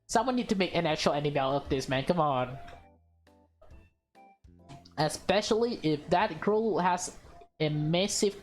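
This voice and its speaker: A woman reading a visual novel aloud with casual reactions, halting and stumbling over her words. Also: low-pitched.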